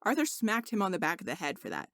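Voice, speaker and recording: A woman's voice: mid-range voice, huge pitch swings, quick pace, vocal fry, a bit bright, no room sound. Woman narrating a story, dry recording. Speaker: audiobook narrator of fan fiction.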